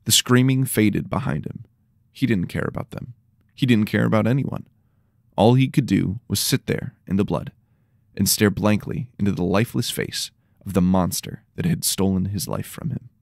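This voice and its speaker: A man with a slightly tired, worn voice reading scripted, dramatic dark fantasy narration. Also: fairly low pitch, moderate pace, gravelly, no room sound, clean studio sound.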